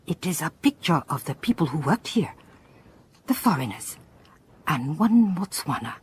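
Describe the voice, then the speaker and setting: A woman's voice: on the low side, a little mellow, slight background noise. An actress playing a wary, opinionated old village woman in a radio drama, with a Southern African accent, a cracked voice and emphatic repetitions. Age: older.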